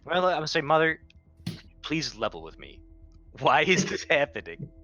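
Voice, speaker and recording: A man's voice: fairly high pitch, sing-song, moderate pace, pushed and bright, lo-fi sound. A man playing a tabletop roleplaying game, talking casually in character with blunt, sweary delivery.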